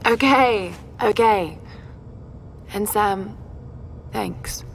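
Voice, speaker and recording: A woman's voice: mid-range voice, very slow, a little hiss or hum. A woman playing an earnest, determined young archaeologist in a video game cutscene. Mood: serious, unsure.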